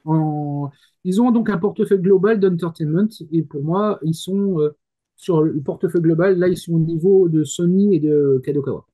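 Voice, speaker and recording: A man with a relaxed, dark-toned voice, pitched a bit high, gives casual explanations as a panelist. He speaks haltingly, breaking his sentences up as he searches for words. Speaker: panelist commenting on the industry.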